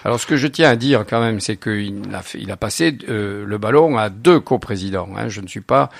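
Man, 60s, southern French accent, low gravelly voice, hesitant with fillers, dry close sound. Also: a little fast, no room sound. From Narbonne, southern France. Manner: hesitant, many fillers and repeated words. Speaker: lawyer, supporters' association co-president. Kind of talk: radio interview answers.

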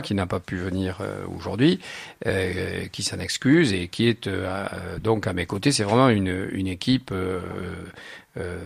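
A low, gravelly-voiced man in his sixties speaks with a southern French accent. He answers interview questions hesitantly, filling gaps and repeating words. The sound is close and dry. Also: no echo. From Narbonne, southern France. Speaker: lawyer, supporters' association co-president.

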